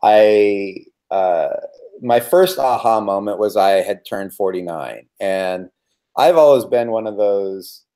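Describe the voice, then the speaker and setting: Self-deprecating man around fifty, low voice. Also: takes it slowly, warm tone. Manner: self-deprecating, emphatic repetitions. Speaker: podcast guest. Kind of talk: candid conversational storytelling.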